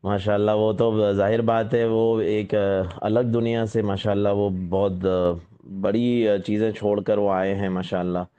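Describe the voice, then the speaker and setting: Male caller, lowish mellow voice, careful and halting, courteous and devout, on a muffled call recording. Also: little pitch movement, clear, resonant tone. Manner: hesitant, tactful; respectful, pious phrasing. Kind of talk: polite phone conversation. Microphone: phone call.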